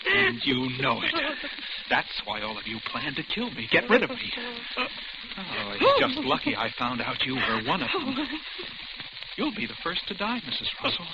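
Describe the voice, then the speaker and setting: A man's voice: sits high, wildly swooping pitch, normal speed, vocal fry, exaggerated voice. An actor playing a deranged, paranoid man in an old radio thriller, taunting and brooding as he menaces a captive. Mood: gloating menace. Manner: taunting, brooding, boastful, melodramatic. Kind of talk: 1940s radio thriller acting. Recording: noisy, dull vintage radio recording with crackle.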